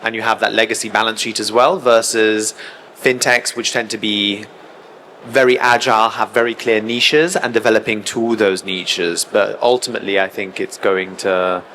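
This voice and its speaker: A man speaking as a panelist in a conference debate, explaining in a measured way with frequent fillers. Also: fairly low pitch, fairly even intonation, sharp tone, a little hiss or hum. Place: conference stage.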